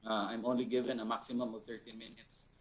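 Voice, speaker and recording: A man, an instructor explaining an answer in a measured, deliberate way with pauses mid-phrase. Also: mid-range voice, flat delivery. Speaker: resource person, art instructor.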